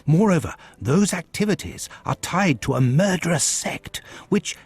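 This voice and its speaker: An actor with a British accent playing an earnest, courteous gentleman physician in a video game, speaking formally. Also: fairly high pitch, expressive, unhurried, breathy edge, full character voice. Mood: urgent, earnest.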